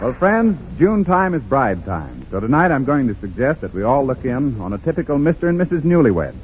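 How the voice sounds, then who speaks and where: A man announcing a 1930s radio advertisement in a scripted sales pitch. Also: big pitch swings, a little fast, a little hiss or hum. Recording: tinny narrow-band 1930s radio recording.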